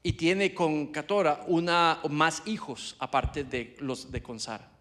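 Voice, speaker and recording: A man's voice: fairly high pitch, animated, slow pace, a bit bright. A man interpreting a sermon live into Spanish, hesitating and restarting as he goes. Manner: hesitant, many fillers and restarts while interpreting. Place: church sanctuary.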